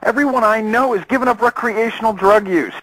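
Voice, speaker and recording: An actor playing a shallow, exasperated casino worker whining on a radio phone-in. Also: male voice, sits high, a little fast, bright, forceful tone, no room sound, rough recording.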